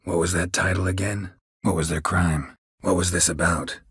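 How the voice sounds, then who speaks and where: An actor playing a gruff, stoic, sardonic middle-aged monster hunter in a video game, a gravelly rasp and terse, deadpan delivery. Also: male voice, sits low, normal speed, dry recording, clean studio sound, exaggerated voice. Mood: dry, questioning. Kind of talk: video game character dialogue.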